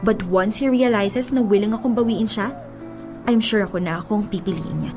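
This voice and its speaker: A woman's voice: mid-range voice, normal speed, close to an everyday voice. An actress plays a young Filipino-accented woman, a jealous ex fighting for her man. She is emphatic and confrontational, in radio drama style, with music underneath. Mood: boastful, intense. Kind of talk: radio drama acting in mixed Tagalog and English.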